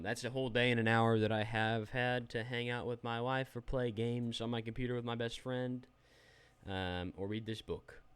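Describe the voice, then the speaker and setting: A man's voice: lowish voice, smooth, no room sound. A young adult man giving a rambling, self-deprecating, unedited review of a phone app while sharing his screen.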